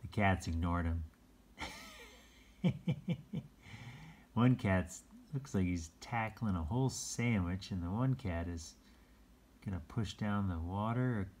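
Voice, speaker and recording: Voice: deep voice, takes it slowly, long pauses, a little mellow, slight background noise. A person reading a children's picture book aloud in an informal, chatty way, adding asides and explaining words simply to young listeners.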